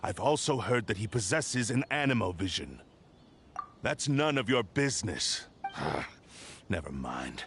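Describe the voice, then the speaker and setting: A man's voice: fairly low pitch, sing-song, unhurried, a bit bright, a little hiss or hum. An actor playing a blunt, dismissive male wandering swordsman in a video game. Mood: curt.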